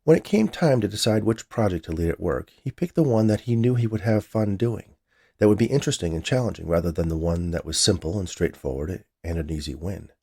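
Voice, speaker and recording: A man's voice: deep voice, lively intonation, fairly quick, creaky, mellow, slightly rough. A man reading a short story aloud as a scripted narration. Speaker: writer reading his own short story.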